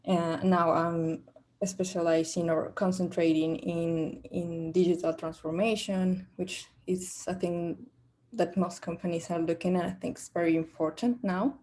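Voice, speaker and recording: A woman's voice: on the low side, fairly even intonation, unhurried, darkish tone. A young woman from Peru with a Peruvian Spanish accent, speaking English as a second language, answering questions in a practice job interview with many fillers and restarts. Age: young adult. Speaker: job candidate.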